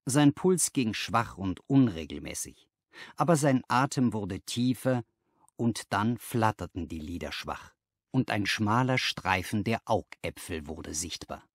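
A man's voice: lowish voice, lively intonation, unhurried, husky, no room sound, studio quality. A man reading a story aloud as scripted literary narration. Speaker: audiobook narrator.

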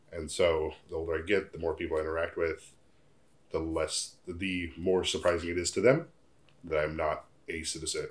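Deep-voiced American man in his thirties. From Portugal and United States. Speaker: interviewee. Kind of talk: reflective personal interview. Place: indoor living room, seated in armchair.

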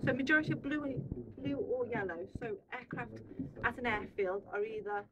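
Young female guide, explanatory and informal, checking understanding, picked up off-mic amid loud room noise. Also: fairly high pitch, moderate pace, far from the mic, lo-fi sound. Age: young adult. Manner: checks understanding with frequent tag questions. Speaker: air traffic controller giving a tour. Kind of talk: informal explanatory talk to a visiting group. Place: indoor radar control room. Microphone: handheld camera a short distance away.

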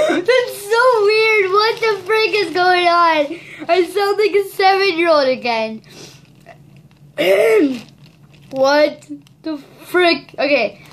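Young vlogger, giggly and exclaiming, slow-paced casual delivery, noisy home recording with crinkly rustling. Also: very high voice, a bit bright. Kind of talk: casual challenge vlog chat. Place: small bedroom, indoors. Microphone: webcam or laptop mic at arm's length. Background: crinkling, crumpling foil balloon.

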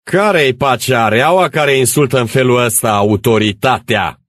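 Storybook role: furious rural policeman male reader, authoritarian, loud, pitched above normal, close dry sound. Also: no echo, close to an everyday voice. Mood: indignant authority. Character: furious old-guard village gendarme, indignant bully.

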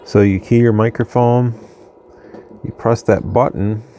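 A man's voice: on the low side, measured pace, slight background noise. A man casually demonstrating a radio at his workbench, thinking aloud as he goes. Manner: relaxed, thinking aloud, restarts. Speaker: radio reviewer. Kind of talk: casual product demo. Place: workbench in a home radio room.

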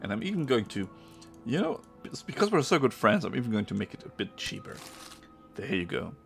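A man with a German accent, a non-native English speaker, giving chatty let's-play commentary and sometimes pausing to search for a word. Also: medium pitch, very animated, a bit bright, soft background music. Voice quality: gravelly. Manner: chatty, pauses to search for words. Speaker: gameplay streamer.

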